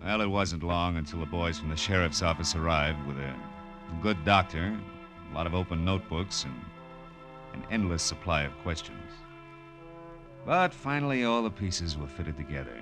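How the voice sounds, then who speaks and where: Casting: world-weary American gumshoe, low male voice, slangy noir narration over music, old-time radio. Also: animated, moderate pace. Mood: wry storytelling. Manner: wry, tough, slangy delivery. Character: hardboiled male private detective, wry, world-weary narrator. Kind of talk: 1940s radio noir acting, first-person narration. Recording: vintage radio recording with music.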